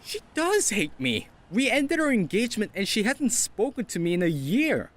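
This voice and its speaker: A man's voice: high-pitched, sing-song, normal speed. A man acting a grumpy, lovelorn young man in an audio drama, with a dramatic, grumbling delivery. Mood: distressed.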